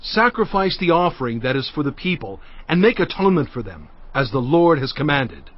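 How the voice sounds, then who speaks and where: A man's voice: on the high side, big pitch swings, brisk, muffled recording. An actor playing a commanding male prophet and leader, speaking in a solemn, declamatory way in a dramatized scripture reading. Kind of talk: dramatized scripture audio acting.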